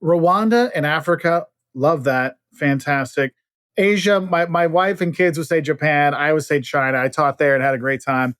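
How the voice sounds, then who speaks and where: A man's voice: on the high side, moderate pace, no room sound, studio quality. A middle-aged American man chatting casually as an interview guest, telling stories and acting out bits of dialogue. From Illinois, United States. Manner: animated storytelling, acting out quoted dialogue. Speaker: guest, travel expert. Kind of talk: casual interview chat with anecdotes.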